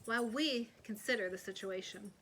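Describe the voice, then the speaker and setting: A woman's voice: mid-range voice, normal speed, fairly bright tone, slight background noise, close to an everyday voice. A woman voicing a firm but worried father in a children's storybook read-aloud.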